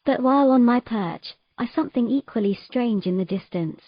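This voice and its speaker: A woman playing a sorrowful young mermaid in an audio drama, telling of her past in a gentle, measured, halting way. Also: a little high, lively intonation, breathy edge, no echo, highs cut off. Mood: wistful.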